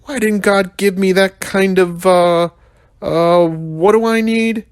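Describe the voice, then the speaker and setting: Man playing a grumpy storybook rhino, high and whiny. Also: steady tone, clean tone, no room sound, clean studio sound. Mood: whiny, searching. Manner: muttering, halting, searching for words. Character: grumpy, self-pitying big male rhino.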